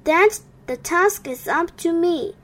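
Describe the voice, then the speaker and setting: A woman voicing a male duck who complains about the noise on the pond but speaks up calmly when needed. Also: very high-pitched, takes it slowly, voice pushed up, heavily acted. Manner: complaining, then steady. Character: fussy male duck, calm and brave.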